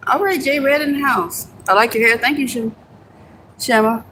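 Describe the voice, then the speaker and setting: A woman's voice: fairly high pitch, normal speed, bright, forceful tone, a little hiss or hum, low-quality recording. A young American woman chatting casually on a livestream, reading and answering viewer comments, laughing easily and swearing now and then. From United States. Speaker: livestream host. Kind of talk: casual live chat with viewers.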